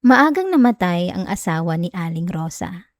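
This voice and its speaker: Woman acting as a sweet clingy young filipino wife, soft coaxing asmr bedtime voice, super close and dry. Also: fairly low pitch, very animated, normal speed, no echo. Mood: calm, soothing. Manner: soft, giggly, affectionate, coaxing. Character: sweet, clingy, teasing young wife soothing her partner. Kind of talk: intimate ASMR roleplay, bedtime chat and story reading. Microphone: close microphone, dry sound.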